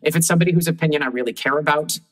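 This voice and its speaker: A woman with a deep voice, a guest telling lively anecdotes in casual conversation and laughing often. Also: very fast. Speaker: guest puppeteer.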